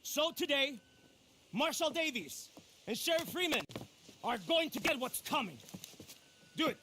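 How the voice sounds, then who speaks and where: An actor playing a menacing gang leader in a Western game, declaiming to a lynch mob with strained force. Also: male voice, extremely high pitch, sing-song, measured pace, bright, forceful tone, a little hiss or hum, heavily acted. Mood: menacing, vengeful. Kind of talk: video game cutscene acting.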